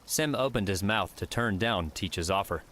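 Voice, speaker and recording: A man's voice: lowish voice, normal speed, fairly bright tone, slight background noise. A man reading scripted fiction narration as an audiobook narrator.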